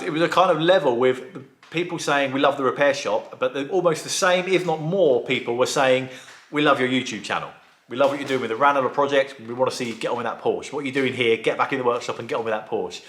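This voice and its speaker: Man, croaky throat, rambling and enthusiastic, casual vlog chat, roomy echo. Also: mid-range voice, a bit bright. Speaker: vlogger and car restorer.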